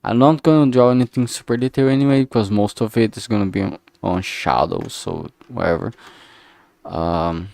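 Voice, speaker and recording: A man talking casually on a work-along livestream, rambling and halting as he thinks aloud. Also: on the low side, a little mellow, no room sound. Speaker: livestreamer making a visual novel.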